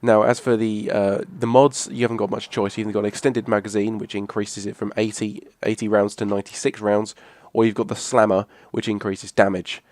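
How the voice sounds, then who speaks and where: A hoarse young man, rambling casually through a video game weapon review. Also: fairly low pitch, flat delivery, brisk, hardly any pauses, a little mellow, no room sound. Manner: rambling, restarts a lot, casual swearing. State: hoarse from a cough. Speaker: gaming channel host. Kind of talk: casual gameplay commentary.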